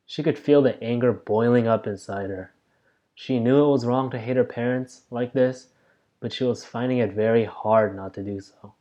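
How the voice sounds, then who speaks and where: A man's voice: mid-range voice, dark tone. A man reading a children's story aloud as scripted narration. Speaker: volunteer reader.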